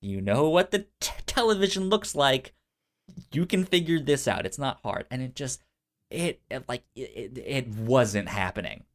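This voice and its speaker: A man's voice: medium pitch, animated, normal speed. Man with a frequent stutter telling stories. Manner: frequent stutter, repeating first sounds of words. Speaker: podcast co-host. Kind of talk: casual storytelling chat.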